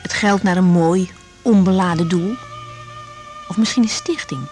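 An actress playing a young woman doctor in a 1980s Dutch radio drama, caring, brisk and direct in a conversational way. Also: on the low side, darkish tone, soft background music, lightly acted. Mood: pensive.

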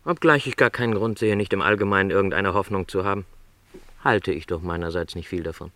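A middle-aged travelling salesman in a literary radio play. His voice is low, recorded very dry. He is a lonely, gentle dreamer who talks reflectively, with resignation and a touch of apology. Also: brisk, no room sound. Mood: reflective, resigned.

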